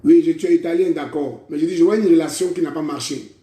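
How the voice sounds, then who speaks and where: A man's voice: fairly high pitch, quick pace, dark tone. A man preaching a prophetic sermon, declaiming in repeated phrases and calling listeners brother. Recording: echoey room.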